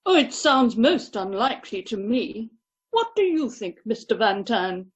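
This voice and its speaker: Woman playing a high, inquisitive society lady. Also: big pitch swings, measured pace, slightly rough, full character voice. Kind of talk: amateur radio play acting. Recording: muffled video call audio.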